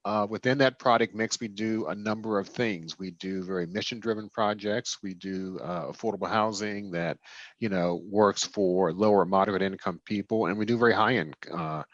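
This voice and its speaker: Deep-voiced man, thoughtful and halting. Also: dry recording, dull audio. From Washington, D.C. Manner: thoughtful, many fillers and restarts. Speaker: guest, real estate developer. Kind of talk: casual interview answers.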